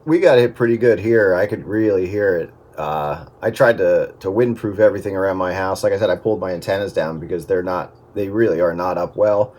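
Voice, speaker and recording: A man's voice: low-pitched, a little fast, hardly any pauses, a little mellow. A man chatting casually as a radio operator over a two-way radio with some noise. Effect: two-way radio transmission. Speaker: amateur radio operator in a group check-in. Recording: noisy two-way radio audio.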